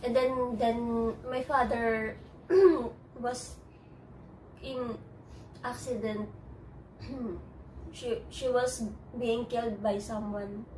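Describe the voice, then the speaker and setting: Halting Filipino-accented woman, distant mic. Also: fairly high pitch, fairly even intonation, darkish tone, slight background noise. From Philippines. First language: Tagalog. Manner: halting, hesitant, many restarts. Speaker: interviewee. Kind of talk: personal interview. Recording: echoey room, distant mic.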